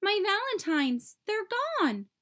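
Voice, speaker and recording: A woman's voice: very high voice, big pitch swings, slow, a bit bright, voice pushed up, heavily acted. A woman voicing an earnest, sensitive young boy turtle in a children's storybook, childlike and emotional. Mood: upset.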